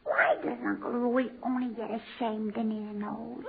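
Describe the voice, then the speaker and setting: Mischievous little girl, high childish voice, tinny old radio sound, audience laughter. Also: flat delivery, unhurried, over a noisy crowd. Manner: childish, cheeky, asks why over and over. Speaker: child character in a radio comedy. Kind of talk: 1940s radio comedy acting.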